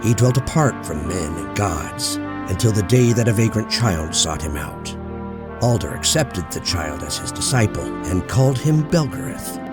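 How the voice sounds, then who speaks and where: A man narrating a fantasy audiobook in a measured, solemn storytelling manner. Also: lowish voice, expressive. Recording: loud music bed under voice.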